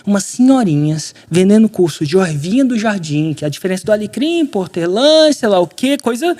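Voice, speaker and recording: A man from Brazil, a podcast guest, telling a story in casual conversation, animated and slangy, acting out quotes. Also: high voice, a little fast.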